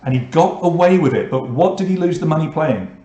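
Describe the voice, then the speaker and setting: A man's voice: fairly high pitch, lively intonation, fairly quick, mellow, echoey room, lo-fi sound. Joking man hosting an online pub quiz. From England. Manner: reads questions and scores, joking asides to players. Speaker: quiz host.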